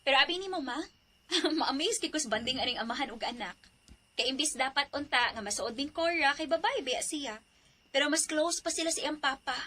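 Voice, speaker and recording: A woman's voice: high voice, animated, fairly quick, pushed and bright. A woman acting a cheerful young wife and mother in an audio drama, chatty and teasing.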